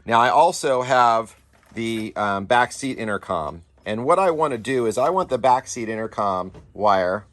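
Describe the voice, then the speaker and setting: A man's voice: medium pitch, lively intonation, a little slow, a bit bright. Casual man explaining a hands-on install. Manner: informal, explanatory, addressing viewers as guys. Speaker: DIY off-road channel host.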